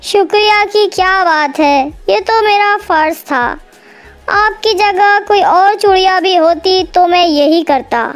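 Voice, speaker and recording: A woman's voice: very high voice, takes it slowly, faint music behind. A woman voicing a brave, polite young male student bird in a cartoon, firm and earnest. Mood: modest.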